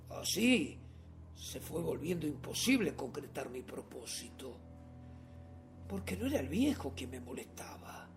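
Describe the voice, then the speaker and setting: An actor playing a nervous, obsessive madman who insists he is sane, in a theatrical, halting radio drama monologue that swings between whispers and outbursts. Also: fairly high pitch, creaky, a little mellow, slight background noise, full character voice. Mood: hushed, sinister.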